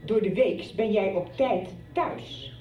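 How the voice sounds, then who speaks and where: A woman's voice: medium pitch, slow, far from the mic, natural voice. A middle-aged actress playing a strict, interrogating mother in a radio soap. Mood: stern. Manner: stern, interrogating. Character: strict mother confronting her teenage son. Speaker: actor. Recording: dull roomy radio recording, off-mic.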